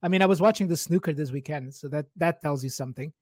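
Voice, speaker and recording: A man's voice: on the high side, fast, a little mellow, no echo, clean studio sound. A man in his forties from Portugal, a native Portuguese speaker, chatting informally and in a rambling way as a podcast guest. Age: 40s. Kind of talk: casual personal chat.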